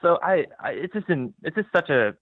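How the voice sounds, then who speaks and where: A man's voice: a little high, big pitch swings, brisk, dry recording. A male caller on a call-in show, speaking earnestly with stumbling restarts. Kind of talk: call-in phone chat. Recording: tinny phone line.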